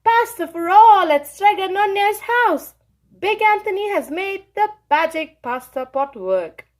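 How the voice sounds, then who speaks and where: A woman voicing an eager, boastful young man in a storybook, loud and excited. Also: very high voice, measured pace, voice pushed up.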